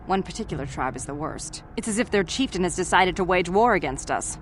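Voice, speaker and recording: A woman playing an officious, businesslike mining company clerk in a video game, with a formal, brisk manner. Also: fairly low pitch, animated, a little hiss or hum, close to an everyday voice. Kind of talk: video game character acting.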